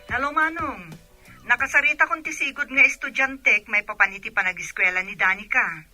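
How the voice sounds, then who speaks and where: A woman's voice: fairly high pitch, normal speed, bright, forceful tone, faint music behind. A woman acting a helpful, practical aunt in an Ilocano radio drama, with an Ilocano Filipino accent. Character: helpful city aunt giving practical advice.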